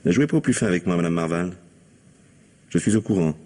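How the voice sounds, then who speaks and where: An actor playing a patient, probing police commissioner in a French mystery radio play, husky-voiced, polite and dryly questioning. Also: male voice, low-pitched, expressive, brisk, dark tone. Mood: pressing, firm.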